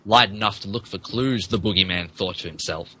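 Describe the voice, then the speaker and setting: A man's voice: on the low side, a little fast, bright, forceful tone, husky, dry recording. A man giving a mock-serious, theatrical comic narration of fan fiction on a podcast.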